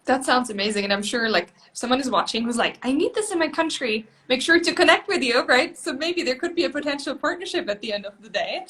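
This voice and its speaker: A bright, upbeat woman hosts a live interview over a video call. Her voice sits a little high and she keeps things moving with encouragement and easy laughter, cheering guests on between their answers. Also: lively intonation, fast talker, hardly any pauses. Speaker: host.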